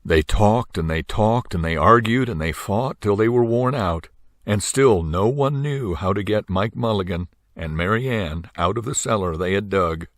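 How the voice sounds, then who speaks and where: An older man reading a children's story aloud with dramatic, drawn-out phrasing. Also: on the low side, dry recording, clean studio sound. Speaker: storybook reader.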